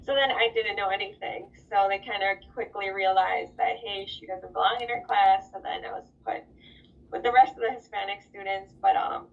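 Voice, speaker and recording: A woman's voice: mid-range voice, normal speed, creaky. Distant lo-fi woman, slight Spanish accent. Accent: slight Spanish accent on certain words. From United States. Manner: relaxed, chatty, many fillers. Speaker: interviewee, employee of a global company. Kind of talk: casual interview answers. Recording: distant, dull lo-fi sound.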